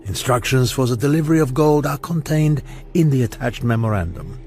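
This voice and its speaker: An actor playing a cold extortionist in a radio drama, reading out a threatening letter in a measured, formal manner. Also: male voice, medium pitch, expressive, a little mellow, slight background noise, natural voice. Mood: cold, menacing.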